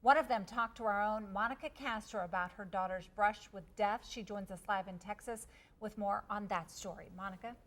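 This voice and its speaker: A woman's voice: mid-range voice, moderate pace, a bit bright. A woman anchoring the news, reading a scripted introduction in broadcast style.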